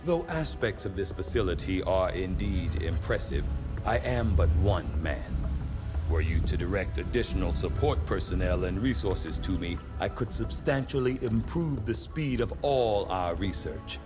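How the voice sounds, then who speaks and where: Deep, formal, proud scientist. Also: male voice, expressive, music in the background. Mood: proud, assured. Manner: formal, measured, verbose, erudite. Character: male chief scientist, formal, proud, precise middle-aged researcher. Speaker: chief science officer. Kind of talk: video game cutscene acting.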